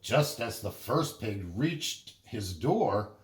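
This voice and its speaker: Slow, animated man reading a storybook. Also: on the low side, echoey room, soft background music. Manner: expressive, deliberate storytelling. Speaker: storybook reader. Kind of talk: animated children's story narration.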